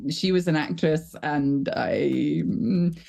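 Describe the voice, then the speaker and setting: A woman with a low voice, a voice actor chatting casually in an interview, thoughtful and self-deprecating. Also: slow pace, gravelly, mellow. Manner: thoughtful, self-deprecating, laughs while talking. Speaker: voice actor guest.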